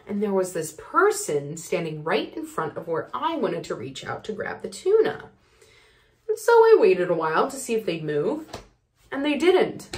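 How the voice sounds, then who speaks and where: An actress performing a neurotic, self-absorbed woman in a comic monologue, rambling and sighing dramatically. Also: on the high side.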